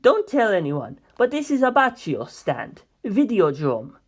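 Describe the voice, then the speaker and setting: A woman voicing a composed, dryly evasive male mafia member in a measured, matter-of-fact way for a story reading. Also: mid-range voice, sing-song, breathy edge.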